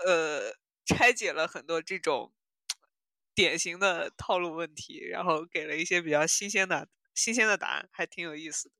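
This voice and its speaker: A woman podcast host with a bright, crisp-edged tone in a very dry recording. She chats casually and giggles easily. Her phrasing is animated and halting, with words repeated as she goes. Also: fairly low pitch, no room sound.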